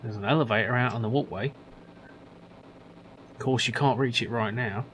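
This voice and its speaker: A man voicing a gruff, grumpy older male factory worker, grumbling and dismissive. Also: mid-range voice, normal speed, fairly bright tone, slight background noise, lightly acted, rough recording. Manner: grumbling, dismissive, calls others son.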